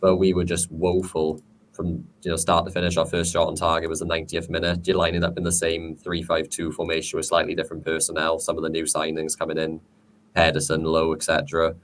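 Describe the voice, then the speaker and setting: Man, deep voice, very flat delivery, podcast guest, slight background noise. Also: brisk. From Wales. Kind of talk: casual football podcast chat.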